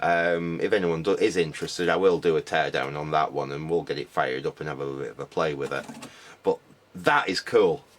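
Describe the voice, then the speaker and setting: A man talking casually to camera about his car boot finds, rambling and repeating "like I said", his voice a little croaky. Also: rumbling low, normal speed. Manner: rambling, repeats "like I said", many ums. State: slightly croaky voice.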